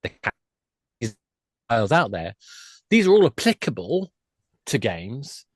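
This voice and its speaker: A man's voice: medium pitch, huge pitch swings, slow, no echo, studio quality. A man speaking as an expert guest in a discussion, explaining his points in a discursive way. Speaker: guest, games industry expert. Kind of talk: discussion of game storytelling.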